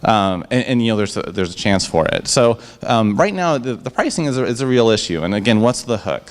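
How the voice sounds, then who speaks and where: A man pitching his startup to a live audience and then answering their questions on a handheld mic. He talks with upbeat enthusiasm and often restarts his sentences. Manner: enthusiastic, upbeat salesman patter with frequent restarts. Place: event room before a live audience. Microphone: handheld microphone.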